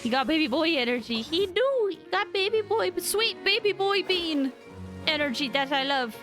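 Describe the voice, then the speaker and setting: A woman's voice: very high voice, expressive, normal speed. A woman streaming a game and chatting casually with viewers in an informal, rambling way. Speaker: live streamer playing a game. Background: game music.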